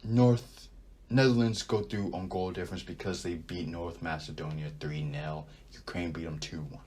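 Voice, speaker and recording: A young man hosting a sports podcast, talking casually and rambling with animated asides as he thinks out loud. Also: low-pitched, normal speed. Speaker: podcast host. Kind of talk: casual sports talk monologue.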